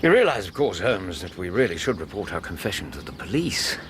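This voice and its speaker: A loyal gentleman companion in a radio play, with a deep, lively voice. He is courteous, knowing and gently chiding, over a noisy recording. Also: a little fast. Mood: questioning. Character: loyal, gently probing middle-aged English gentleman companion. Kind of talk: radio drama acting.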